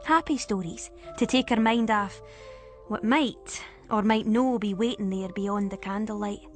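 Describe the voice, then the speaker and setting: A woman with a Scottish accent narrating a first-person ghost story in a suspenseful, expressive way. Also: mid-range voice, unhurried, soft background music.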